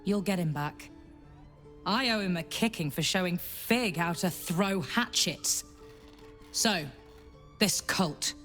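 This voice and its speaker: A grown daughter who runs her household in a game drama. She speaks slowly and bluntly, giving orders with teasing affection, over faint music. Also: lowish voice, a bit bright. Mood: brisk, bossy. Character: brisk, bossy grown daughter and mother, fond underneath. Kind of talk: video game dramatic acting.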